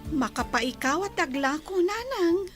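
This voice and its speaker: A woman's voice: extremely high pitch, expressive, measured pace, faint music behind. A woman playing a plaintive, sorrowful young village woman in a radio drama.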